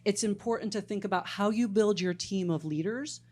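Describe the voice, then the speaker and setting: A woman moderating a conference panel, brisk and organized as she opens the questions. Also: mid-range voice, a little mellow. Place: conference stage.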